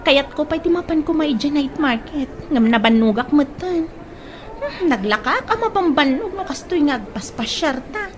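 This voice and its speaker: A woman's voice: high voice, expressive, normal speed, a little hiss or hum. A woman playing a grumbling, fussy young daughter in an Ilocano comedy drama. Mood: weary.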